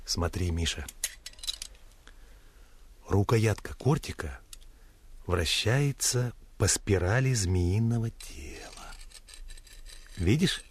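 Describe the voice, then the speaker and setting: An actor in a Russian radio play playing a gruff but kindly sailor soldier who instructs in earnest, colloquial speech. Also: male voice, lowish voice, animated, slow pace, long pauses, slight background noise. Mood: confiding, intent. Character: gruff, kindly adult Red Army sailor mentor. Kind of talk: Russian radio drama acting.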